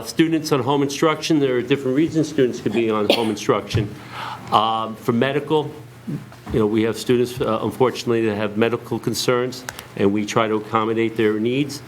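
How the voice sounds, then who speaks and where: Man, hoarse voice, lowish pitch, halting presentation, faint noise behind. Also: low-quality recording. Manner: halting, many uh fillers. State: hoarse, lost voice. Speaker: presenter to school board. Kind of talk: formal presentation.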